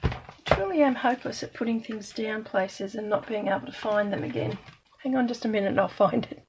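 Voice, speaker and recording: A middle-aged woman from Australia, slightly croaky today, chatting casually and self-deprecatingly while she demonstrates card-making. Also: mid-range voice, fairly quick, a little mellow, slight background noise, rough recording. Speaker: craft demonstrator.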